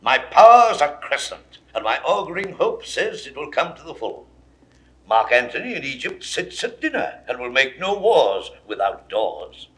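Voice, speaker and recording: An actor playing a proud, confident, scornful Roman rebel leader in a 1960s Shakespeare audio drama, with emphatic oratorical delivery. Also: male voice, on the high side, sharp tone, exaggerated voice. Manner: oratorical, emphatic declaimed verse.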